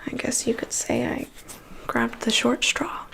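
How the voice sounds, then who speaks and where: A woman whispers softly through an ASMR roleplay as a vampire's assistant. Her delivery is very slow and attentive, with a gravelly crackle at the edges of her words. She sounds reassuring and methodical, like someone carrying out a careful inspection. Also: fairly high pitch, completely flat delivery. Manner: soft, unhurried, gently attentive with reassuring murmurs.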